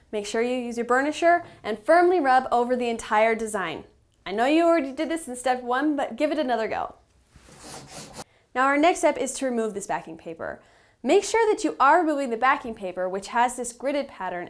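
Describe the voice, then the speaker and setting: Higher-pitched woman giving step-by-step instructions. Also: expressive, a little fast. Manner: patient, instructive, emphatic on key tips. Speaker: instructor and company representative in how-to videos. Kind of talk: step-by-step tutorial narration.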